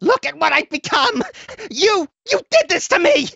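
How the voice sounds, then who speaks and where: A man voicing a manic, gleefully violent, childish cartoon rabbit in a video game, with flippant, theatrical delivery that swings between deadpan and shrill. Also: normal speed, creaky, dry recording, dull audio, exaggerated voice. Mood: bewildered, theatrical. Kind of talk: animated video game character voice lines.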